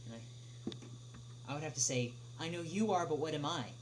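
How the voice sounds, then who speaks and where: Hesitant man livestreaming over noisy hum. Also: on the high side. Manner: hesitant, halting delivery with long pauses. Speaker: livestream host answering viewer questions. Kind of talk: casual livestream chat, question and answer.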